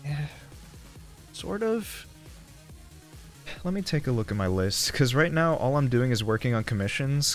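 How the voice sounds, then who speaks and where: A young man livestreaming, chatting casually with his viewers. He rambles, laughs often and swears freely. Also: mid-range voice. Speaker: streamer. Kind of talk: casual livestream chat, reading chat aloud. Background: faint background music.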